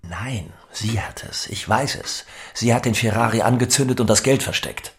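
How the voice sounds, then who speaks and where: An actor in a German audio drama playing an effusive, excitable businessman with big schemes. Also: medium pitch, normal speed, a little hiss or hum, close to an everyday voice. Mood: angry, suspicious. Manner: effusive, theatrical. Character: excitable, scheming male businessman friend held captive.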